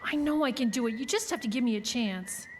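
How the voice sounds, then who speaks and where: An actress playing an ambitious young carnival worker in a horror audio drama, blunt, insistent and plain-spoken. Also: female voice, fairly high pitch, expressive, fairly quick, a little hiss or hum, natural voice. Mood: insistent, pleading.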